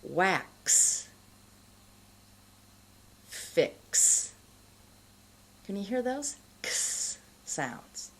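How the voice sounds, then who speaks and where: Slow, lively American woman teaching pronunciation. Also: mid-range voice, vocal fry, husky. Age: middle-aged. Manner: deliberate, careful enunciation, pausing between words. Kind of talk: instructional lesson to camera. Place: indoor studio.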